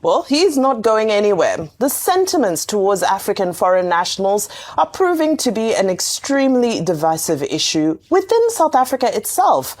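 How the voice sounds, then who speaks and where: A woman's voice: medium pitch, sing-song, normal speed, few pauses, darkish tone. A woman presenting a TV news show, reading reports and viewer reactions in broadcast style. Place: TV studio.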